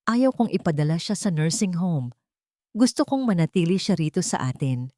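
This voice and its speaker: A woman's voice: fairly low pitch, big pitch swings, normal speed, warm tone, no echo. A woman narrator plays a worried husband and son in an audio drama. He is earnest and protective. The recording is dry, without room sound. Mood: earnest, firm.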